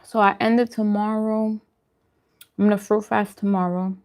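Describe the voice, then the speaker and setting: A woman's voice: medium pitch, level tone, moderate pace, a little mellow. A woman chatting casually on a livestream as host while she eats, informal and self-deprecating in her manner. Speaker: vlogger hosting a live mukbang stream.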